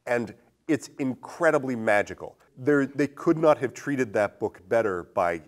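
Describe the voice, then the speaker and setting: A man with a lowish voice hosting a casual film discussion, thinking aloud as he frames probing questions. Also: animated, a little slow. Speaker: podcast host.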